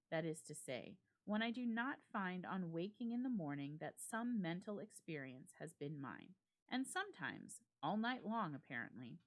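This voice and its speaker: A woman reading a classic ghost story aloud as a dramatic narration, in a measured, formal literary delivery. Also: lowish voice. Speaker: library staff member reading a story aloud.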